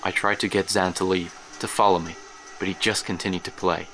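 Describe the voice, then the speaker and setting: A man's voice: low voice, flat delivery, a bit bright. An actor playing a haunted older man, recalling his youth in a grave, literary horror monologue for an audio drama. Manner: grave, literary, measured storytelling. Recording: noisy track with ambience behind.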